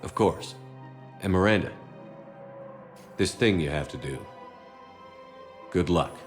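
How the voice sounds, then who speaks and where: A man playing a stern, steady space military commander in a video game, with measured, terse and authoritative delivery. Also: low voice, faint music behind. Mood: reassuring. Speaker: game protagonist. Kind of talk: cinematic video game acting.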